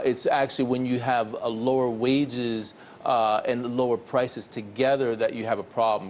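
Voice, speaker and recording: A man's voice: mid-range voice, a little mellow, slight background noise. A man giving economic analysis as a regular TV guest, hesitant with lots of fillers, walking through figures step by step. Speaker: economics commentator. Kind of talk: TV interview analysis.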